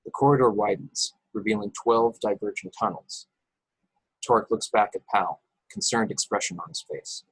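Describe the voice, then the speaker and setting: A man with a husky voice reading stage directions as narrator of a script table read over a video call. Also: on the low side, normal speed, no room sound, poor audio. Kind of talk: scripted narration with occasional stumbles.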